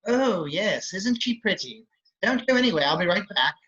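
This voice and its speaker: Woman playing nosy neighbor lady, polite, husky voice, dull lo-fi sound, table read. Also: medium pitch, very animated, normal speed, a bit bright. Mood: polite concern. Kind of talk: hobby table read acting. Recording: dull lo-fi microphone.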